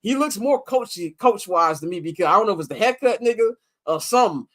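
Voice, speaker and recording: A man with a notably high voice talks through a sports recap in loose, slangy chat. He gets animated and slips into quick impressions of what others told him. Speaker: podcast co-host.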